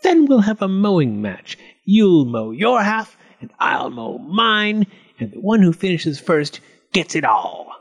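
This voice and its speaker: A greedy, hot-tempered storybook goblin, acted out by a man, sly and taunting. The voice swings widely in pitch as it grumbles and demands. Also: very animated, unhurried, vocal fry, slightly rough, heavily acted.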